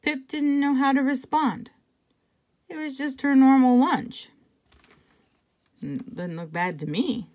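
A woman reading a picture book aloud to children, conversationally, pausing for casual asides and check-in questions. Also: high-pitched, darkish tone. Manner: conversational, pointing things out and asking check-in questions. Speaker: intervention specialist reading to children.

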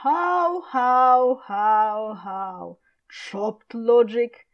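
A furious, domineering patriarch of classical tragedy, acted by a woman, scolds with archaic, declamatory scorn. The voice is raised in pitch and the delivery is slow and weighty, on a slightly dull home recording. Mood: raging. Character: furious tyrannical father, Shakespearean patriarch berating his daughter.

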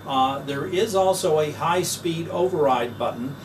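A man's voice: on the high side, unhurried. Older salesman, distant mic, fan hum. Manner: plain folksy sales talk with filler "uh"s. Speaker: business owner pitching his product. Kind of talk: promotional demonstration. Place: office, then a basement demo wall indoors.

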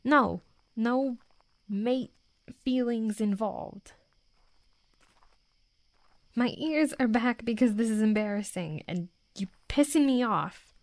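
A woman voicing a tough young female werewolf in an intimate roleplay audio drama, gruffly defensive and stammering, secretly smitten. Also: a little high, takes it slowly, pauses often, dry recording. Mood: indignant, embarrassed.

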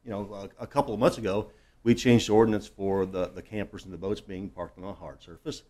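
Blunt, folksy man with a lowish voice. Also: fairly even intonation, fast talker, dark tone. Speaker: city council member. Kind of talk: informal remarks at a council meeting. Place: council meeting room, indoors.

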